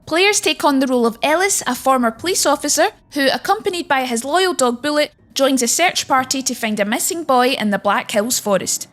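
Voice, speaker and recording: A woman presents a scripted countdown in a high-pitched voice. She reads as a narrator guiding listeners through each entry. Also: animated, brisk, hardly any pauses, fairly bright tone. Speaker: narrator and presenter of a video game list.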